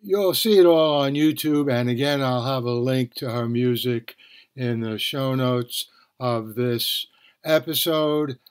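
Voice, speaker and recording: An older man hosting a podcast, talking casually and deliberately. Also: fairly high pitch, a little mellow. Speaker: podcast host, author and professor.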